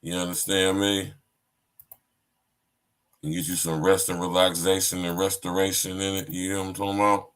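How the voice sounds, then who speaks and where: A man's voice: sits low, little pitch movement, moderate pace, pauses often, fairly bright tone, clean tone. A man hosting his podcast, opening with loose, hype greetings full of slang. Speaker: podcast host. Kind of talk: casual podcast intro.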